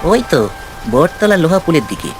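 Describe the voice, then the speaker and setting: Man putting on a fussy village shopkeeper, high-pitched, very animated, complaining, cartoon, music behind. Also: moderate pace, poor audio. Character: fussy, chatty village tea-stall keeper.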